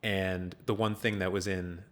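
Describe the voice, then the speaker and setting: Deep-voiced man explaining films casually. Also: level tone, unhurried, a bit bright. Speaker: podcast host. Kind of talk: casual movie discussion.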